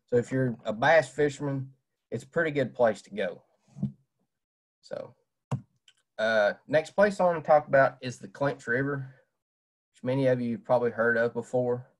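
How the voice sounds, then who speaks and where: A young man, giving a casual fishing talk over a webcam with many "uh" fillers. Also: mid-range voice. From Southwest Virginia. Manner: plain-spoken, many "uh" fillers. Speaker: fishing presenter. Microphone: webcam on video call.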